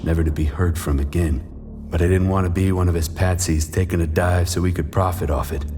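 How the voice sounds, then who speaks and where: A deep, world-weary boxer played by a man tells his story like a noir confession. The delivery is measured and haunted, from an audio drama, with music clearly underneath. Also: natural voice. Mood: grim. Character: weary washed-up male boxer, gruff, haunted by past. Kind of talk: brooding first-person noir narration in audio drama.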